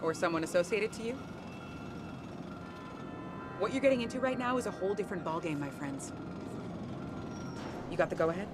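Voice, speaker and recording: An actor playing a wary, guarded contact in a video game cutscene, speaking tersely during a secret exchange. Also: male voice, high voice, moderate pace, long pauses, background noise, lightly acted. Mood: wary, tense.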